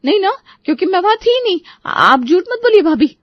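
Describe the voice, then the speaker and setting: Woman doing a shrill, denying young sister-in-law. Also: big pitch swings, brisk, no room sound. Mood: defensive. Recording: dull lo-fi recording.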